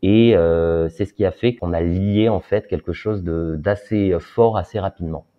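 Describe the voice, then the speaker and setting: A man in his thirties, a guest telling his story in an interview, pausing often with fillers. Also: deep voice. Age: 30s. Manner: hesitant, many filler pauses. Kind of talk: personal interview talk. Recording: dull lo-fi sound.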